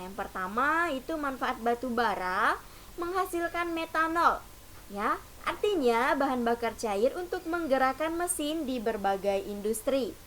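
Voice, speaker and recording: A woman's voice: high-pitched, fairly bright tone. A woman teacher giving a patient lesson to young children, often opening sentences with "nah". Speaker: primary school teacher. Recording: faint hiss.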